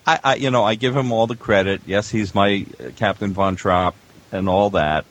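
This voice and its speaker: A man with a deep voice tells anecdotes in a casual, conversational way as a veteran audio producer sitting on a panel. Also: normal speed, a little hiss or hum.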